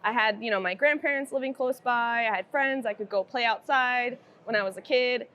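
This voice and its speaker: Woman from iceland chatting as a podcast guest, kinda high voice, easygoing. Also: fairly quick, bright, forceful tone. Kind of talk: casual interview.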